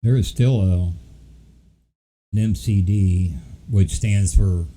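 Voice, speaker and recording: A man's voice: fairly low pitch, slow, mellow, slight background noise. A man recovering from the flu, hosting a casual livestream and chatting with viewers in a rambling, easygoing way. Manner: rambling, easygoing, many fillers. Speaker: livestream host.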